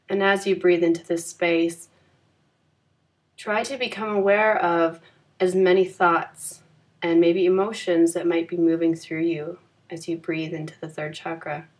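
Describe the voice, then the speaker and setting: A woman leading a guided yoga nidra meditation in a calm, gentle, soothing manner with unhurried phrasing. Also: lowish voice.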